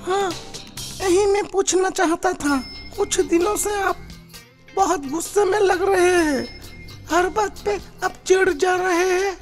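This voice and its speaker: A woman's voice: very high voice, slow, faint music behind. A woman voicing a timid, respectful village boy who speaks hesitantly in a cartoon. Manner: hesitant, deferential. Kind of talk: cartoon voice acting.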